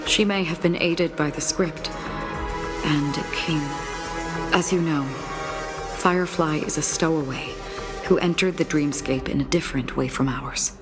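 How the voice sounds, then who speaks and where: Grave, low-voiced enigmatic swordswoman, music behind. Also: fairly even intonation. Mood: solemn, encouraging. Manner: measured, formal, grave. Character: solemn, enigmatic swordswoman guiding the dead, calm and grave. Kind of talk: dramatic video game dialogue.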